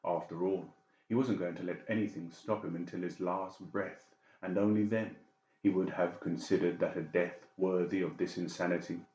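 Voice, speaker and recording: A man's voice: low voice, fairly even intonation, moderate pace, darkish tone, a little hiss or hum. A man narrating a dramatic audiobook story from a script. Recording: roomy, slightly dull sound.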